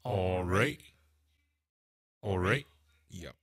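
Man, middle-aged, casual demo host, unhurried, bright voice through audio effects. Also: lively intonation. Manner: halting, thinking aloud. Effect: reverb and pitch-shift plugins on his voice. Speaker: host testing audio plugins. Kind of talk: casual software demonstration. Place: indoor desk setup.

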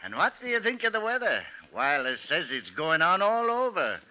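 An actor playing a folksy, chatty, skeptical village shopkeeper with an emphatic manner in a 1950s radio drama. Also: male voice, high voice, wildly swooping pitch, normal speed, pushed and bright, exaggerated voice, low-quality recording. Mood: chatty, genial.

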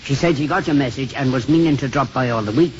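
An actor playing a humble old parish priest in a 1950s radio drama, rambling and halting as he talks. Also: mid-range voice, lightly acted. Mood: mild, kindly. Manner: rambling, halting, self-deprecating. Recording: muffled, lightly noisy 1950s radio broadcast.

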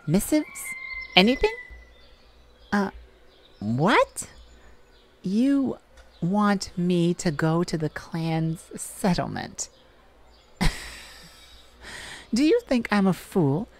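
Woman acting a slow-spoken, weary, defiant mage. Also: medium pitch, very animated, heavily acted. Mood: wary, sarcastic. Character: weary, defiant human mage longing for captured mate. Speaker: audio roleplay performer. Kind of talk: scripted dramatic audio roleplay.

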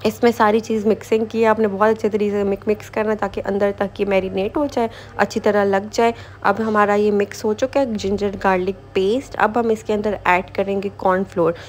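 A woman's voice: mid-range voice, level tone, a little fast, hardly any pauses, a little mellow. A woman giving a casual step-by-step recipe voice-over as a home cooking host, informal and repetitive, mixing in English kitchen words.

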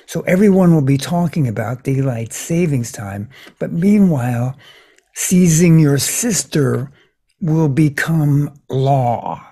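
Improv comedy senator, male performer: slippery schemer, hesitant stammer, soft-edged husky tone. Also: on the high side, expressive, slow pace, full character voice. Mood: evasive, flustered. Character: scheming, evasive male senator pushing an absurd bill.

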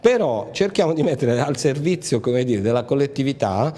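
A man's voice: mid-range voice, big pitch swings, normal speed, dark tone. A middle-aged man, a producer talking casually into a handheld mic, joking and rambling with many fillers. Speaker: show producer. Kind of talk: casual interview talk. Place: indoor hall. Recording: reverberant hall.

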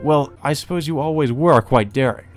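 A man's voice: a little high, moderate pace, soft background music, pitched above the performer's own voice, lightly acted. Man playing a flustered, formal young nobleman. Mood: uneasy, flustered. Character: flustered, well-bred young nobleman in a visual novel.

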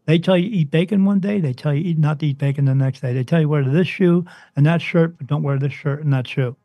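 Insistent guy preaching his views, warm mellow voice, kinda high, dry close mic. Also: fast talker, dry recording. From New Jersey. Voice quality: soothing, deep voice. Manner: emphatic, insistent, swings up in pitch when stressing points. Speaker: guest, spirit medium. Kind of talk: interview, impassioned preaching monologue.

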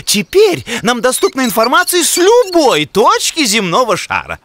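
An actor voicing an excited cartoon animal inventor who explains things with enthusiasm, over music. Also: medium pitch, moderate pace. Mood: excited, proud. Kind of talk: animated children's educational cartoon acting.

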